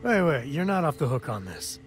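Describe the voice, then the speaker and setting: An actor playing a rugged, bashful space cowboy and affectionate father in intimate video game dialogue, speaking haltingly and sincerely. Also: a little high, sing-song. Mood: earnest, hesitant. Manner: halting, earnest, sheepish. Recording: music behind the voice.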